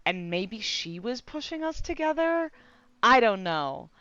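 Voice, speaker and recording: A woman reacting casually to a video game on a livestream, slangy, sweary and expressive. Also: a little high, a little slow, clear, resonant tone, muffled recording.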